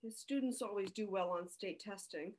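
A woman's voice: medium pitch, moderate pace, a little mellow, close to an everyday voice, low-quality recording. A woman playing a dry, formal school principal in a sitcom table read. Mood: detached. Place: home room on a video call.

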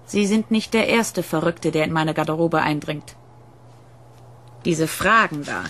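An actress playing a haughty, evasive opera singer, prim and challenging, in a German radio drama. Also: female voice, deep voice, a little slow, fairly bright tone, a little hiss or hum. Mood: scornful, threatening. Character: indignant, evasive female opera singer under interrogation.

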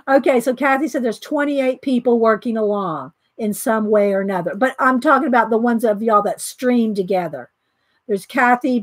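A chatty woman with a high, bright voice, hosting a live art stream and greeting viewers in chat by name. She reads aloud from a book and giggles often. The sound is close and dry, with no room echo. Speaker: art livestream host.

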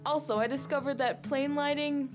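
This is a young woman in her twenties vlogging her travels, speaking straight into a handheld camera. Her voice sits a little high, and her delivery is chatty and playful with dry little jokes. Loud music runs behind her the whole time. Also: fairly even intonation, normal speed. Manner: chatty and playful, with wry asides. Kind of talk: casual travel vlog narration. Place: varied: car, hotel rooms, outdoors in hot sun. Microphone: handheld camera at arm's length. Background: loud background music.

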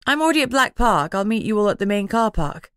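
A woman's voice: medium pitch, big pitch swings, fast talker, clear, resonant tone, no echo, lightly acted. An earnest young female alpha werewolf speaks up decisively in an audiobook, played by a woman narrator. The sound is close and dry. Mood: brisk, direct. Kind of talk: audiobook character dialogue.

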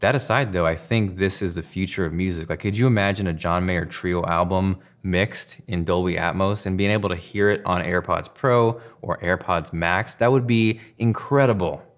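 Very low-voiced male tech reviewer. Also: normal speed, few pauses. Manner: enthusiastic, emphatic. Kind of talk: scripted tech review to camera. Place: indoor studio room at a desk.

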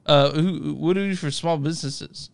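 A man's voice: a little high, moderate pace, creaky, clear, resonant tone. A man co-hosting a movie podcast, laid-back and joking as he plugs the show. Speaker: podcast co-host. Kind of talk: casual podcast banter.

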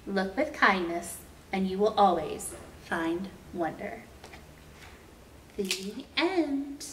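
Slow-paced woman reading a picture book, roomy sound. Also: medium pitch, lively intonation. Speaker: library storytime host reading aloud. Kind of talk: children's picture book read-aloud. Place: indoor room with painted mural. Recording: roomy, distant mic, faint noise.

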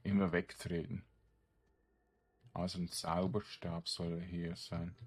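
A man's voice: sits low, flat delivery, slow, breathy edge. A man giving casual gameplay commentary, thinking aloud in short, loose fragments. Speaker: gamer streaming a let's play.

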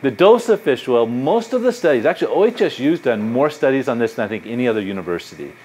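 A middle-aged man speaks at a medium pitch as he lectures to a room of listeners. His delivery is animated and conversational, full of asides and anecdotes, and his voice carries the sound of a university lecture hall. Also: quick pace, a little hiss or hum. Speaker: psychology professor. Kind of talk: public lecture with audience questions.

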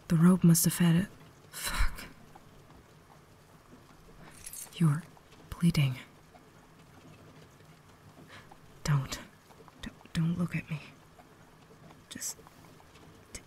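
A woman's voice: sits low, creaky, slight background noise, full character voice. A woman playing a cultured, caring vampire lady in a refined, whispered ASMR roleplay, holding herself back. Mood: tense, restrained. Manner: refined, formal, soft-spoken whisper.

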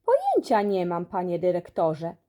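A woman's voice: lowish voice, wildly swooping pitch, measured pace, mellow, pitched below the performer's own voice. A woman playing a strict, easily flustered male school supervisor in a children's story, scolding indignantly.

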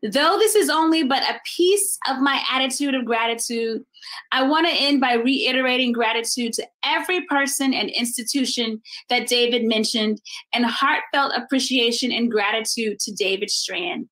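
American woman, middle-aged, bright forward tone, deliberate speechmaker reading prepared thanks. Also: fairly high pitch, low-quality recording. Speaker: artist giving thanks at an exhibition opening. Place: indoor room at home, video recording.

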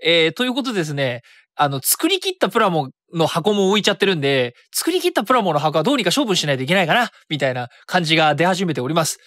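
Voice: mid-range voice, very animated, quick pace, hardly any pauses, a bit bright, no echo, studio quality. A radio host chatting casually and solo about a hobby, thinking aloud and self-correcting along the way.

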